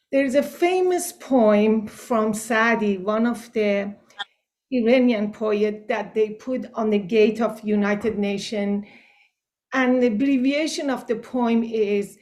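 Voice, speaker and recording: Woman from Iran, slow careful speech, slightly high voice, video call. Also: a little mellow. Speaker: participant in a group meditation call. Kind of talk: earnest casual sharing.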